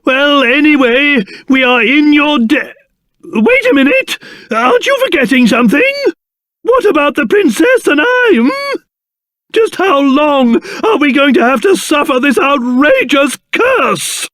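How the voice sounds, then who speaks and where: A man voicing a pompous, fussy, excitable little old king in a video game, speaking theatrically with old-fashioned flair. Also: very high voice, a little slow, no echo, studio quality, exaggerated voice. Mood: genial, self-satisfied, then peevish, shrill. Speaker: voice actor.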